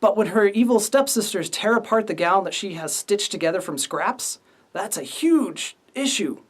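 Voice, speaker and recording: A man's voice: sits high, lively intonation, normal speed, distant mic. A man hosting a casual writing lesson as the instructor. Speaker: writing instructor and series host.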